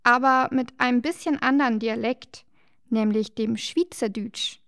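A woman co-hosting a children's show, explaining things cheerfully and gently teasing her partner. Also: a little high, a little slow, no echo, studio quality. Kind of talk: scripted children's educational dialogue.

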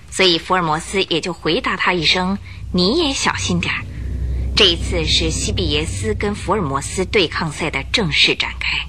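A woman narrating a radio detective drama in a lively storytelling manner. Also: mid-range voice. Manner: lively storytelling, rising for suspense.

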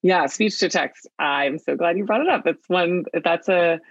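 An earnest woman speaks in a relaxed, conversational way, with frequent fillers and restarts as she gathers her thoughts. Her voice has a gravelly fry to it. The recording is dry and slightly dull, like a home microphone. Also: lowish voice, normal speed, dry recording. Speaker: product team member. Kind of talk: casual conversational interview.

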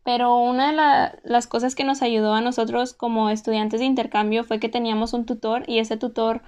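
A woman's voice: a little high, flat delivery, fairly quick, a little mellow, smooth. A young woman in her twenties with a Monterrey Mexican accent, casually recounting her time as an exchange student, talking conversationally with lots of fillers. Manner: conversational, frequent "pues" and "o sea" fillers. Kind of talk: casual first-person account.

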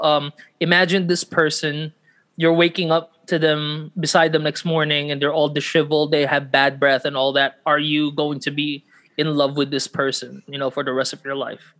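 A man's voice: on the high side, a bit bright. A man hosting a casual podcast, talking in a hesitant, playful way and often restarting his sentences. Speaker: podcast host. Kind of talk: casual chat.

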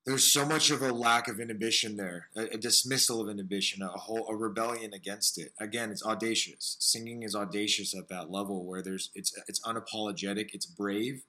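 A man with a smoky, raspy voice hosting an interview, talking earnestly and searchingly about music and his own struggles. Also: fairly low pitch, steady tone, fairly quick, few pauses, sharp tone, low-quality recording. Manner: earnest, searching, piles up phrases. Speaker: podcast host. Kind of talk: reflective interview talk.

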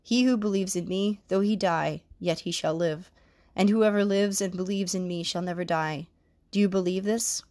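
A woman reading the lines of a calm, solemn male teacher in a measured, plain manner. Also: lowish voice, dry recording, clean studio sound, natural voice.

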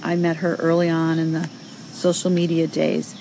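A woman's voice: deep voice, monotone, moderate pace, gravelly, warm tone, a little hiss or hum, low-quality recording. A middle-aged woman hosting a casual audio show, answering callers in a chatty, encouraging way with plenty of fillers. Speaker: host.

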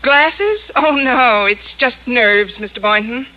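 A woman's voice: a little high, slow pace. A woman playing a wry, wisecracking schoolteacher who narrates and chats in a 1950s radio comedy. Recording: noisy muffled old radio broadcast recording.

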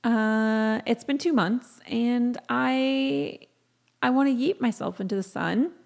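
A woman podcast host chatting solo in a casual, rambling, slangy and self-deprecating way, with a scratchy, croaky voice worn out from talking and lack of sleep. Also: fairly high pitch, takes it slowly, darkish tone. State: sleep-deprived, voice tired from talking.

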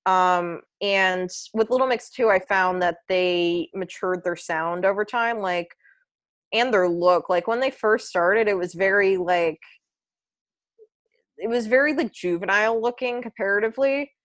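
A young woman with a low voice, chatting casually about pop music on a podcast, rambling with lots of fillers and restarts. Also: a little slow, fairly bright tone. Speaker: podcast co-host.

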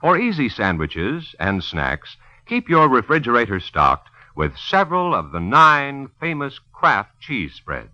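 A man's voice: mid-range voice, wildly swooping pitch, a little slow, few pauses. An American man announcing on vintage radio, with a polished, emphatic scripted sales and narration delivery. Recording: dull, dry vintage radio recording.

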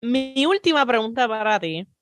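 A woman's voice: a little high, lively intonation, moderate pace. A woman with a Caribbean Spanish accent hosting a podcast interview, listening attentively and asking questions. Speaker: podcast host.

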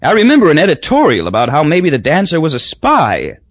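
A man voicing a loud, boozy, crude middle-aged businessman who talks in folksy bluster. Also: a little high, wildly swooping pitch, a little fast, no echo, muffled recording, voice pushed up.

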